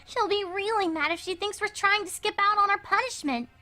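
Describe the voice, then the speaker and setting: A woman voicing a small, earnest, uneasy young filly pony with a childlike squeaky voice in a story reading. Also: brisk, sharp tone. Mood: wary. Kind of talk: dramatic fan fiction reading.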